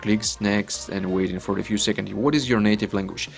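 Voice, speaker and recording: Ukrainian man, low voice, non-native English. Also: steady tone, a little fast, creaky, faint music behind. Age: 20s. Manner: non-native English, chatty and repetitive, often says "guys". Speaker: online earning vlogger. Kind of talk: casual screen-recorded walkthrough.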